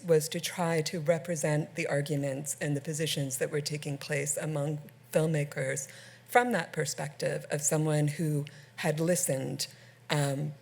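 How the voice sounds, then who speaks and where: A woman from Canada speaking as a scholar on a panel, measured and halting with many fillers. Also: sits low, a little mellow. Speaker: scholar on a book festival panel. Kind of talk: panel discussion.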